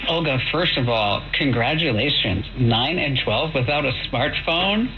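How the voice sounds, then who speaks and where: A man's voice: mid-range voice, expressive, measured pace, music in the background. A man hosting a call-in advice show in a casual, informal, exclamatory way, often checking in with the listener. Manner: informal, exclamatory, peppered with "you know". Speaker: call-in tech advice host. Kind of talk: casual, chatty radio advice. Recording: noisy, dull shortwave radio.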